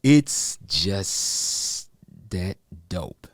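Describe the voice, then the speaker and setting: A man's voice: low-pitched, lively intonation, very slow, creaky, dry recording, clean studio sound. A man hosting an interview show, encouraging his guest with frequent affirmations. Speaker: show host.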